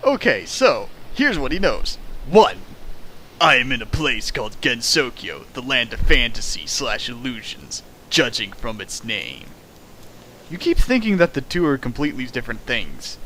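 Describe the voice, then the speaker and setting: A man's voice: medium pitch, big pitch swings, moderate pace, pushed and bright, slight background noise, low-quality recording. A man reading a fan fiction aloud for comedy, breaking off for sarcastic, mocking commentary on the writing. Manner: sarcastic, mocking asides, laughs at the text. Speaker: reader riffing a fan fiction.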